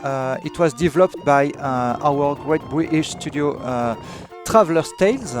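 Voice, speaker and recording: A man's voice: fairly high pitch, measured pace. A young man from France with a French accent, hesitantly commentating his live speedrun of a game, with many fillers and restarts. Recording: game music playing behind.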